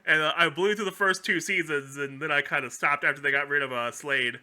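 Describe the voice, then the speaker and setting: A man's voice: on the high side, a little fast, sharp tone. A man hosting a podcast in casual chat, rambling and opinionated in slangy language. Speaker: podcast host.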